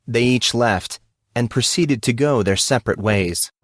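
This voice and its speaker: A man narrating a scripted audio drama in a measured, dramatic way. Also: medium pitch.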